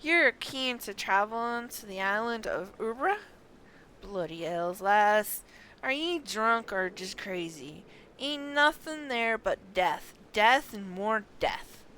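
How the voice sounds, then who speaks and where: A woman's voice: mid-range voice, expressive, slow, bright, forceful tone. A woman reading game quest dialogue as a blunt, foreboding seafarer, with dialect words and doom-laden drama. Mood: grim, incredulous. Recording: clearly noisy background.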